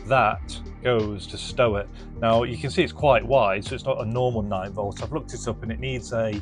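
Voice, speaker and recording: A British man giving an informal, unscripted hands-on product demo and review, explaining as he goes, recorded on a body-worn mic in a garage. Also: on the low side. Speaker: host of retro gadget restoration channel, demonstrating a product. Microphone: body-worn microphone.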